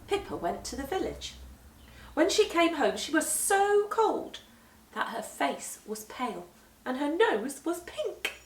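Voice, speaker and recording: A woman tells a children's story in a fairly high, highly melodic voice. Her delivery is unhurried and emphatic, shaped for young listeners. The sound is roomy, picked up at some distance from the microphone. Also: slight background noise. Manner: deliberate, animated, emphatic storytelling. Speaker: storyteller reading aloud to children. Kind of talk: expressive children's picture-book read-aloud.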